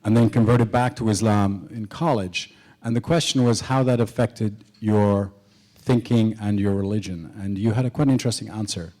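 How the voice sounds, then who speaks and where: A man's voice: on the low side, fairly even intonation, moderate pace, warm tone. A man moderating an onstage interview, setting up his questions conversationally and with a little humor.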